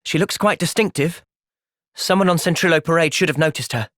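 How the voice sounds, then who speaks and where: A man with an English accent playing an earnest, thoughtful young soldier hero in a video game, speaking sincerely and with measured delivery. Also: on the high side, a bit bright, no echo, clean studio sound, close to an everyday voice. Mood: calm, earnest. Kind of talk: video game cutscene voice acting.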